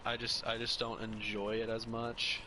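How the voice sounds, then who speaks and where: A man's voice: medium pitch, monotone, normal speed, bright, forceful tone, a little hiss or hum, low-quality recording. A young man in casual chat as a podcast co-host, rambling and opinionated, often restarting his sentences.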